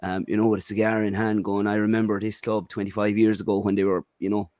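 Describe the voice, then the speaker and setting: An Irish man with a low voice argues his football opinions on a podcast panel. His talk is blunt and sprinkled with "um" and "you know", heard dry and close. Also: flat delivery, quick pace, a little mellow, dry recording. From Ireland. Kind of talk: casual football podcast chat.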